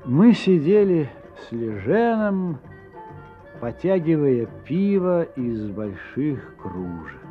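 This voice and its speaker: A curious, friendly narrator in a radio play. He speaks slowly with animated intonation. The recording is a little dull, with faint music underneath. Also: fairly high pitch. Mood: curious, amused. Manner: unhurried, expressive. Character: curious, friendly male narrator, the inspector's companion. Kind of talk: Russian audio drama acting.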